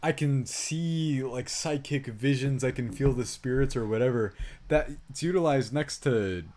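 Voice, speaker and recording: A man's voice: medium pitch, a little slow, darkish tone. A man co-hosting a film review podcast, chatting casually and animatedly with some swearing.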